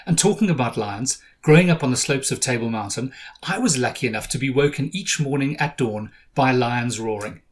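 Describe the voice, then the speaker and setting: A man's voice: mid-range voice, expressive, a little fast. A middle-aged man from South Africa hosting a podcast, narrating from a script with dry humor. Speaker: podcast host.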